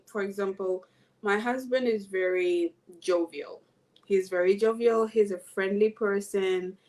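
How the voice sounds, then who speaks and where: A woman from Zambia, a guest in a casual interview, talking in a relaxed, reflective way and repeating words as she thinks. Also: mid-range voice.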